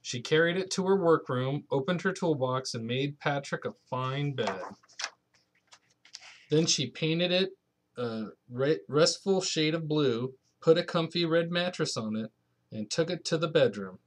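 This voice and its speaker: A young adult man with a slightly high voice reads a picture book aloud. He speaks as a parent to his child, slipping casual asides into the bedtime story. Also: animated, a little slow, a little hiss or hum.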